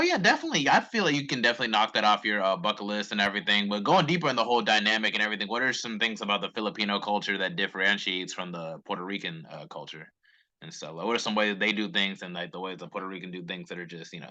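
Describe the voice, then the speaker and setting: A man's voice: on the low side, sharp tone, dull audio. A young man from Charlotte hosting a podcast, chatting enthusiastically with lots of fillers. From Charlotte, North Carolina. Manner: fast, filler-heavy, enthusiastic. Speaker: podcast host. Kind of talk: casual interview chat.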